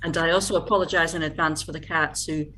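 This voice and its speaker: A middle-aged Scottish woman from Scotland, a guest in a casual video-call interview, talking haltingly with many fillers and restarts. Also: sits low, fairly even intonation, sharp tone, a little hiss or hum.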